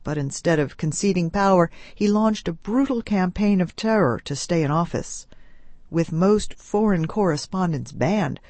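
A reporter narrates a scripted news feature. The voice sits at a medium pitch. The sound is dry, with no room around it. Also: expressive, moderate pace, a little mellow, no room sound.

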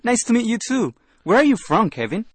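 Friendly young college student: husky, lively delivery, dry close sound. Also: male voice, high-pitched, brisk, no echo, full character voice. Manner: friendly, chatty. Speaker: dialogue actor. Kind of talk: scripted radio lesson dialogue.

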